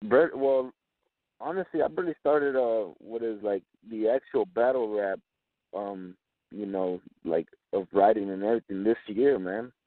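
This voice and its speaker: A man's voice: lowish voice, moderate pace, no echo. Young guy from mexico, native spanish, rapper chatting in slangy english, dry muffled lo-fi sound. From born in Chihuahua, Mexico; lives in West Texas. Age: 20s. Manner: slangy, talkative, frequent "man" and "you know what I'm saying". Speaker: rapper being interviewed. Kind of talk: casual interview chat.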